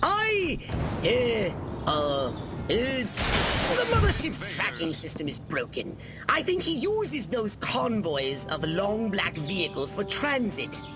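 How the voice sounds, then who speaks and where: Shrill, bossy alien commander. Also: male voice, huge pitch swings, slow pace, exaggerated voice. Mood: haughty briefing. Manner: haughty, theatrical. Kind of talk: video game cutscene acting. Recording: loud music under dialogue.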